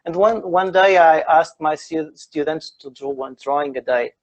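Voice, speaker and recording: A man's voice: fairly high pitch, normal speed. A Portuguese man with a northern Portuguese accent, a guest architect speaking hesitantly with many fillers and restarts. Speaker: guest, architect and drawing teacher. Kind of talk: casual interview. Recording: dull lo-fi sound.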